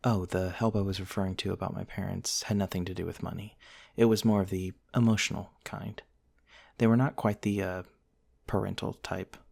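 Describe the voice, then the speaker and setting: A man playing a gentle, eccentric vampire prince from the nineteenth century, softly telling his story in an intimate, genteel ASMR roleplay monologue. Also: deep voice, flat delivery, creaky, husky, heavily acted. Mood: wistful, reflective. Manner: soft-spoken, wistful, genteel storytelling with pauses.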